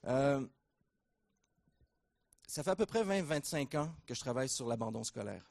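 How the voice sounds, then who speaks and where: A middle-aged man with a Québécois accent giving a conference lecture, relaxed and joking, with many fillers and restarts. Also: medium pitch, normal speed, gravelly. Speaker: invited lecturer at a training conference.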